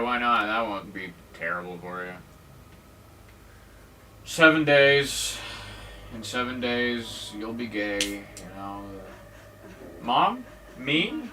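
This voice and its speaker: A man with a lively, bright voice rambles and jokes as he plays through a game, breaking into mock-dramatic outbursts. He sounds set back from the mic, with a faint hum of room ambience behind him. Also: medium pitch, recorded from a distance. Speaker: gaming video host. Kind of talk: casual let's-play commentary.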